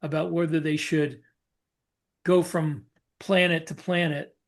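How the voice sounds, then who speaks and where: A man co-hosting a podcast and talking shop as a novelist, explaining casually in a halting way and reworking his phrases. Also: on the high side, flat delivery. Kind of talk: casual discussion of writing military science fiction.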